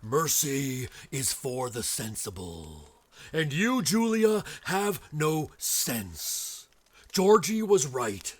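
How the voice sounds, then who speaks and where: A man playing a stern, righteous resistance leader in an audio drama, grandiose and theatrical with deliberate pauses. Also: medium pitch, very animated, breathy edge, full character voice. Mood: stern, merciless. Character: stern, righteous doctor leading common-sense resistance.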